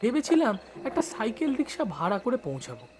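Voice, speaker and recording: A man playing a village schoolboy who studies in the city, telling his story in expressive first-person narration. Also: very high-pitched, brisk, background noise, exaggerated voice. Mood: suspenseful recounting. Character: village schoolboy studying in Kolkata, recalling his life.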